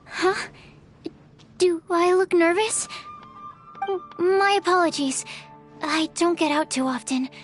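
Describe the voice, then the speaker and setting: A woman's voice: very high-pitched, steady tone, takes it slowly, pauses often. A woman playing a shy, earnest young alchemist's assistant in a video game, timid and hesitant. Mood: shy, nervous. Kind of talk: video game character acting. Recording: music playing behind the voice.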